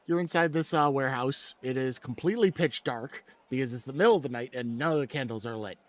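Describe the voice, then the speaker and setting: A man's voice: medium pitch, quick pace. A man speaking informally as game master, narrating the scene and teasing the players in casual banter.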